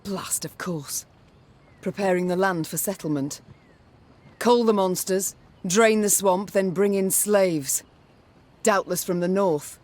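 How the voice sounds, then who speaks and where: A woman's voice: mid-range voice, slow, darkish tone, natural voice. A woman playing a proud, commanding queen in a fantasy game, speaking in a formal, pointed way. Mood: probing, stern. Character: proud, commanding warrior queen questioning a mercenary. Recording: background music under the dialogue.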